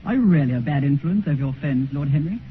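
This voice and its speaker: An actor playing an innocent, impressionable young gentleman in a 1950s radio play, polite and wondering. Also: a little high, animated, fast talker, phone-quality sound, faint music behind, lightly acted. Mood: curious. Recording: noisy band-limited 1950s radio recording.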